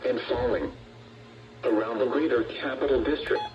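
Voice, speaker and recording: A man's voice reading a scripted weather bulletin in a formal, measured way, heard through a radio speaker. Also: mid-range voice, dull audio. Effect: played through a radio speaker. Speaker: weather radio forecast announcer. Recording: very noisy clicking weather radio playback.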